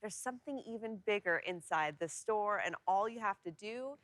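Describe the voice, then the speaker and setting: A woman's voice: mid-range voice, animated, normal speed, a bit bright, no room sound. A woman presenting on television news, explaining things in a conversational, unscripted way. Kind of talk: unscripted weather talk and newscast banter.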